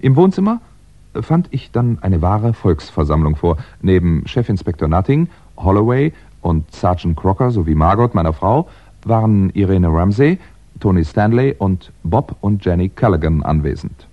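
Wry crime writer, low animated voice. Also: male voice, normal speed. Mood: wry narration. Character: exasperated crime writer narrating his own wrongful arrest. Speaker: actor and narrator in a radio crime play. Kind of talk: scripted first-person narration and radio acting. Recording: dull 1960s radio recording.

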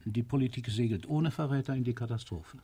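A husky, imperious military ruler. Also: male voice, medium pitch, a little fast, warm tone. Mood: coolly argumentative. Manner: commanding, coolly argumentative, declamatory. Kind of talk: radio play acting.

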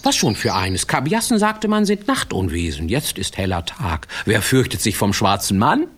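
An actor playing a wry, playful storyteller who narrates a radio fairy tale with little asides. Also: male voice, on the low side, very animated, normal speed, fairly bright tone, husky, heavily acted. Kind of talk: scripted radio drama narration.

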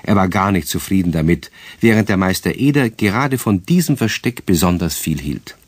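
Tiefer, rauer, lebhafter Erzähler. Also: male voice, sits low, lively intonation, moderate pace, no room sound. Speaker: narrator. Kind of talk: scripted children's story narration.

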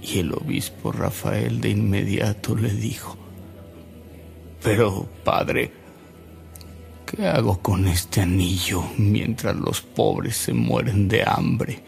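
An actor with a Mexican accent playing a courteous elderly man who tells reverent stories in a gravelly voice in a radio drama. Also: lowish voice, big pitch swings, a little mellow, soft background music, exaggerated voice. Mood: reverent reminiscing. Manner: courteous, reverent, unhurried storytelling. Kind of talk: Spanish-language radio drama acting.

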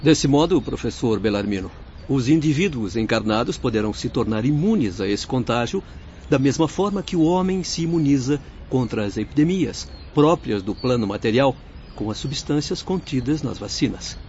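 A man's voice: mid-range voice, expressive, moderate pace, darkish tone, natural voice. A man acting an earnest, courteous young spirit helper in a radio drama, formal and careful, with a Brazilian accent. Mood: explaining. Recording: dull lo-fi recording, background noise.

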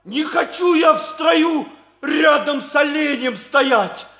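Blunt folksy soldier-servant, very high piercing pitch, deferential but stubbornly aggrieved, old radio comedy. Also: male voice, takes it slowly, creaky, bright, forceful tone, exaggerated voice. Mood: stubborn, offended. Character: indignant plain-spoken hussar orderly, blunt and stubborn. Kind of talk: 1960s Soviet radio comedy acting. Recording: vintage 1960s radio play recording.

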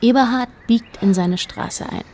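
A woman narrating a literary radio play in a measured, precise manner. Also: fairly high pitch, a little mellow, a little hiss or hum, lightly acted.

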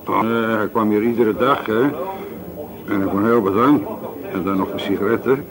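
An actor playing a self-righteous, bossy café owner in a radio drama, grumbling and gossipy, with a gravelly voice. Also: male voice, lowish voice, steady tone, moderate pace, natural voice, low-quality recording. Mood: defensive grumbling. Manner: grumbling, hesitant fillers, seeks agreement. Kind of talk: naturalistic radio drama dialogue. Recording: busy crowd noise around the voice. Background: café chatter.

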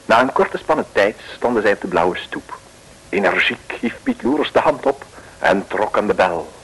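A man's voice: fairly low pitch, wildly swooping pitch, normal speed, gravelly, a bit bright, husky, poor audio. A man narrating a 1950s radio play in a wry, mock-dramatic way.

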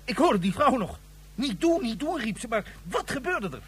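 An actor playing an anxious, deferential subordinate in a science-fiction radio play, agitated and questioning, with a husky voice. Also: male voice, extremely high pitch, big pitch swings, normal speed, a bit bright, exaggerated voice. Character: anxious, eager subordinate of a colonel. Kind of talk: Dutch science-fiction radio drama acting.

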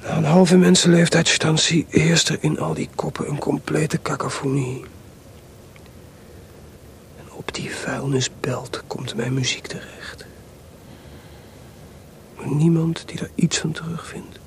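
An actor playing a proud, bitter, jealous composer husband in a radio play, grumbling and sardonic in an intimate way. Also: male voice, a little high, steady tone, unhurried, vocal fry, long pauses, whispered. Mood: bitter, weary. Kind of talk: Dutch radio play acting.

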